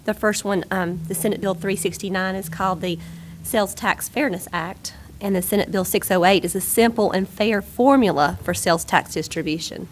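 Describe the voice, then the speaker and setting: Woman with a squeaky cold-worn voice. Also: moderate pace, slight background noise. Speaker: presenter on sales tax. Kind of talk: briefing presentation. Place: county board meeting room.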